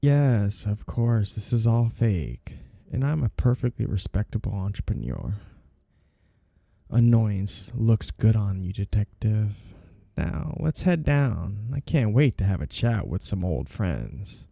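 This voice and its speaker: A man acts out a suave, cunning mafia boss in an intimate audio drama. The voice is very dark and mellow with a gravelly creak, swinging expressively as he teases and gives orders. It is recorded close and dry. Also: on the low side, sing-song, no echo, full character voice. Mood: teasing, flirty. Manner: smooth, teasing, deliberate, smugly commanding. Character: suave flirty two-faced mafia boss, cunning and controlling. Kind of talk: intimate ASMR audio roleplay.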